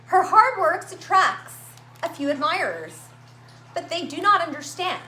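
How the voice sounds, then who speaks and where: High, animated woman reading a children's story. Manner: animated, dramatic emphasis with drawn-out words and simple asides. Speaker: children's story-time reader at a library. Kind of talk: expressive read-aloud of a picture book for young children. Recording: roomy echo, faint background noise.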